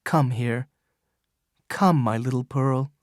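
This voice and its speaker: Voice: medium pitch, takes it slowly, no room sound, clean studio sound, lightly acted. A narrator playing a dying young minister. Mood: tender. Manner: solemn, declaiming. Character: dying young male minister, tormented yet resolute.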